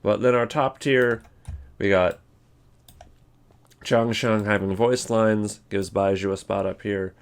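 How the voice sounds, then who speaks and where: Low-voiced man rambling casually. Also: fairly even intonation, slow, pauses often, smooth. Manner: rambling, informal. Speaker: video creator, host. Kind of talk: casual rambling tier list commentary.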